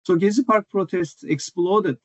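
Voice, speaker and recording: A man from Turkey, a scholar answering interview questions about his book in a thoughtful way, pausing with many fillers and restarts. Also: high voice, no room sound. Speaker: author and scholar, guest. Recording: dull dry video call audio.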